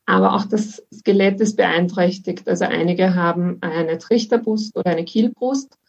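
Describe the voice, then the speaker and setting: A woman in her twenties from Vienna, speaking, calmly explaining as a guest in an interview with many fillers. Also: fairly low pitch, moderate pace, creaky, slightly rough, muffled recording. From Austria. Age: 20s. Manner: calm, informative, with many fillers.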